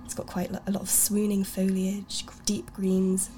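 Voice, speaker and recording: A woman's voice: mid-range voice, completely flat delivery, normal speed, warm tone, slight background noise. A woman from Sweden hosting a casual art chat, chatty, with many fillers and restarts. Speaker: radio show host.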